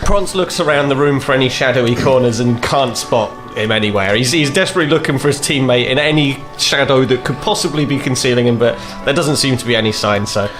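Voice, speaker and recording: A man's voice: mid-range voice, quick pace, few pauses, over music. A man playing a tabletop game, narrating his character with lots of laughter. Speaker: player. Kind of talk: casual tabletop roleplay.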